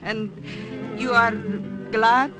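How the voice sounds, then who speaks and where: An actress playing a wistful, lovestruck young Italian woman, with an Italian accent and halting phrasing, in 1950s radio drama. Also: a little high, fairly even intonation, rough recording. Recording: old-time radio recording with music behind.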